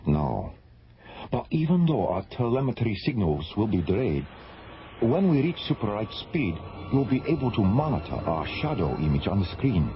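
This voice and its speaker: A man playing a courteous astrophysicist in a science fiction radio drama, speaking in a polite, measured way. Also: fairly low pitch, big pitch swings, soft background music. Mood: matter-of-fact. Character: genial, courteous astrophysicist and test pilot, a man. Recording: dull lo-fi radio recording.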